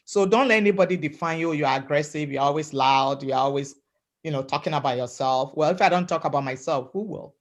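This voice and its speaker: Emphatic loud-voiced woman from Africa. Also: deep voice, brisk. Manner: loud voice, emphatic, repeats "right?" and "you know". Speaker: webinar panelist. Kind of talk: motivational talk.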